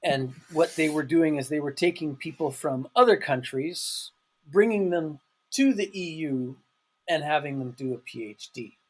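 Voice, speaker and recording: A man, a guest on a podcast, talking on a call from his office in a halting way, full of restarts. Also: on the high side, rough recording. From Canada. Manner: halting, with restarts and "you know, like". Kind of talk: casual interview on a call.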